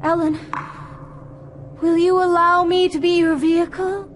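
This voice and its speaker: High-voiced mystical woman medium, slow. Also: flat delivery. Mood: relieved, fervent. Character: mystical female spirit medium, intense and theatrical. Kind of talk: live-action video game cutscene acting. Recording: clearly noisy backdrop.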